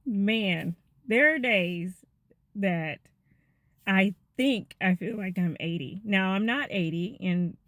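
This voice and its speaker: A woman, giving a gentle, chatty devotional talk to her viewers. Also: mid-range voice, takes it slowly, no echo. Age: 50s.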